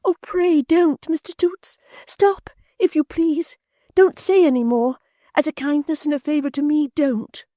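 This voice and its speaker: A man voicing a gentle, kind young woman who pleads softly, in a storybook reading. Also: very high voice, a little slow, no echo, clean studio sound, pitched above the performer's own voice. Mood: pleading. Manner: soft, pleading.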